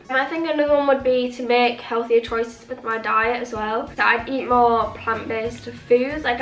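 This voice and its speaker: A woman's voice: fairly high pitch, fairly bright tone. Sniffly young woman vlogging. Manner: chatty, rambling, lots of "like". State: sniffly with a cold. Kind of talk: casual chat to camera. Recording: echoey room with faint music. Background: faint music.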